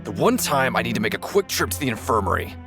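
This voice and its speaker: A man's voice: mid-range voice, big pitch swings, fast, gravelly. A man voicing a sheepish, experienced work chief in a video game, casual and self-excusing. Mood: embarrassed. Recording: background music.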